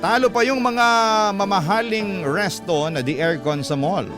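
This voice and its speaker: A man reading a proud, warm-hearted eatery owner's life story in the first person for a radio drama. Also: sits high, unhurried, over music. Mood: proud, reflective.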